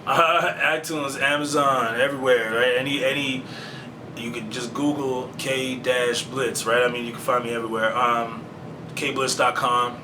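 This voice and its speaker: A man's voice: medium pitch, fairly even intonation, normal speed. A man, a rapper talking in a casual interview in a laid-back, slangy and profane way. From Canada. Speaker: rapper guest. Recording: roomy, distant mic with loud background hum.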